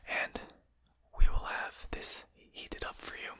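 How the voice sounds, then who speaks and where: Whispering, courteous vampire host, acted out softly. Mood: polite, attentive. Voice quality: breathy unvoiced whisper. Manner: formal, polite, deliberate phrasing. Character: courteous, genteel vampire host lecturing a captured hunter. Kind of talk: whispered ASMR roleplay.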